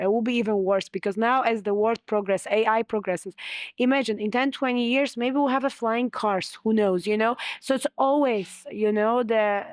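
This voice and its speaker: Polish woman guest on a chat show, kinda high voice, dry close sound, lots of "you know". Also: no echo. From Poland. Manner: frequent "you know" fillers, earnest. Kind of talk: casual interview chat.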